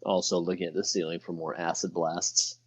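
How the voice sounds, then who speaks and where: A man's voice: sits low, flat delivery, brisk, slightly rough. A man talking casually as a player in a tabletop game, on a dull lo-fi microphone. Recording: roomy, dull lo-fi microphone sound.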